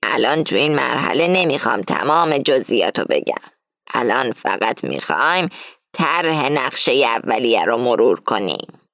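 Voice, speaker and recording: A woman reading as an older female gang leader who lays out a heist plan firmly and deliberately. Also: a bass voice, sing-song, creaky, a bit bright, dry recording, studio quality, voice pushed down, exaggerated voice. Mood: scheming, instructing. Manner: firm, explaining slowly and deliberately.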